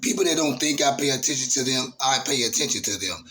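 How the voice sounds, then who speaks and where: A man, hosting his own channel in a casual, halting monologue full of fillers and restarts. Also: mid-range voice, animated, fairly bright tone. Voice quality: raspy voice. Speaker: YouTube channel host.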